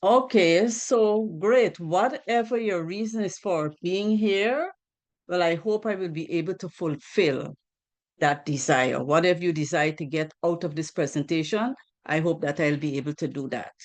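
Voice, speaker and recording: A woman's voice: on the low side, moderate pace. A woman from Guyana giving a financial literacy presentation on a group video call, earnest, asking rhetorical questions and repeating key points.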